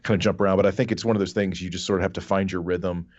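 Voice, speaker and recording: Low-voiced man on a dry, dull mic. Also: fairly even intonation, very fast, a little mellow, dry recording. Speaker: podcast panelist. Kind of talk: casual chat about games.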